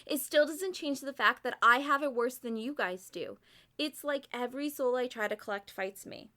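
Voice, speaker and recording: Girl doing a whiny combative lady reaper, bright pushy voice, kinda high, audio drama sass. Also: moderate pace. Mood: indignant. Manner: sarcastic, whiny, confrontational. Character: bratty, combative, defensive female grim reaper.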